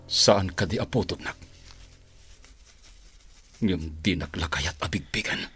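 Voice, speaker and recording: A man's voice: deep voice, slow pace, fairly bright tone, slightly rough, faint music behind, full character voice. An actor playing a bitter adult son who confronts his father, accusing and emphatic, in a radio drama. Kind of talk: Philippine radio drama acting.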